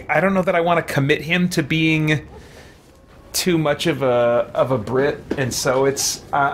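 A man in his forties chats as a game streamer. His voice is medium in pitch, and he muses haltingly and casually. Faint game ambience sits behind him. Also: a little hiss or hum. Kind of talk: casual live gameplay commentary. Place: small room lined with shelves, indoors.

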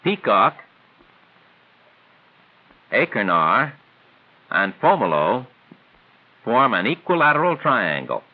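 Man, 1940s training film narrator, highly varied intonation, formal didactic delivery, narrow phone-like vintage sound. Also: sing-song, slow, long pauses. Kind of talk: scripted 1940s instructional narration. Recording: tinny band-limited 1940s film soundtrack.

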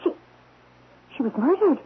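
Hesitant secretary, thin radio sound. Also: female voice, high-pitched, big pitch swings, normal speed. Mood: distressed. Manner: hesitant, polite. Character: upset, deferential female office secretary. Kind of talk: radio mystery drama acting. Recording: muffled, noisy old radio broadcast.

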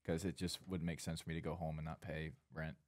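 A man's voice: extremely low pitch, little pitch movement, quick pace, darkish tone, no echo. A young man talking casually as a college student guest on a podcast. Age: young adult. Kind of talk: casual chat. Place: at a dining table in a home. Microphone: headset.

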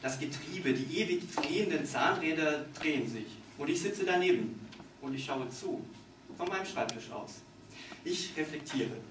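A man in his thirties giving a deliberate, dramatic literary reading of his own satirical monologue on stage. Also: a little high, lively intonation. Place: on stage in a small dark theatre. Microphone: distant camera in the audience. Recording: distant, echoey hall with background noise.

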